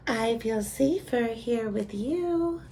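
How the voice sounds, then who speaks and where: A woman voicing a gentle storybook cow asking to come in. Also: on the high side, lively intonation, slow, a little hiss or hum. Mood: hopeful. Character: gentle farm cow wanting shelter.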